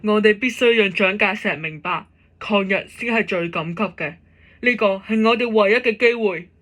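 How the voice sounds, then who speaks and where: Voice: mid-range voice, moderate pace, fairly bright tone, natural voice. Resolute male patriot general, amateur actor, declamatory and earnest, medium register, radio drama.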